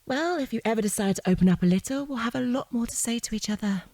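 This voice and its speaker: Mellow, composed woman questioner. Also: fairly high pitch, dry recording, poor audio. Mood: cool, pointed. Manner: measured, pointed, persistent. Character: composed, probing woman questioning an evasive man. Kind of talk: naturalistic audio drama dialogue.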